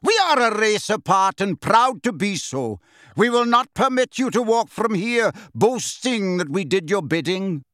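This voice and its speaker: A man voicing a fierce, proud male centaur warrior who snarls and shouts with hostility in an audiobook. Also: high-pitched, animated, unhurried, hardly any pauses, no room sound, studio quality, pitched above the performer's own voice, heavily acted. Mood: snarling pride.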